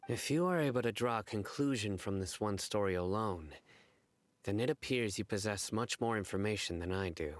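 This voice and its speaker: An actor playing a serious, measured young male investigator in a video game, speaking formally and deliberately. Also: fairly low pitch, no echo, close to an everyday voice. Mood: measured, skeptical. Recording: plucked-string music behind the voice.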